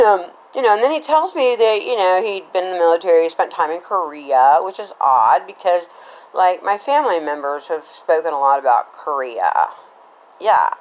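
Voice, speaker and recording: An American woman in middle age with a fairly low voice that moves up and down in a lively way. She tells a personal story casually and ramblingly, checking in as she goes. The recording sounds slightly dull and lo-fi. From Cincinnati, Ohio, United States. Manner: rambling, conversational, often checks in with "right?".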